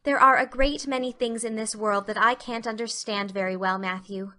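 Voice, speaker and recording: A woman's voice: a little high, steady tone, normal speed, bright, forceful tone. A woman voicing an earnest, chatty young schoolgirl in a storybook, wordy and melodramatic in manner. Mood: musing, curious. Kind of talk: storybook character dialogue.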